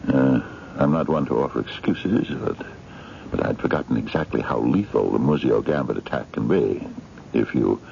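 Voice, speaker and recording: Very deep, gravelly mysterious gentleman. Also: lively intonation, moderate pace, full character voice. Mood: gracious. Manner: formal, hesitant, insistent, courteous. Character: mysterious, eccentric chess-playing gentleman, coaxing and courtly. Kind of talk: radio mystery drama acting. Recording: dull, noisy 1970s radio drama recording.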